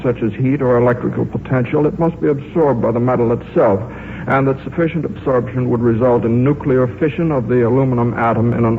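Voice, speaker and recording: A man's voice: mid-range voice, natural voice. An actor playing an absent-minded, earnest American scientist and father in a 1950s radio drama, with a hesitant, stammering, meandering way of talking. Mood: earnest, pedantic. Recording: muffled, noisy 1950s radio recording.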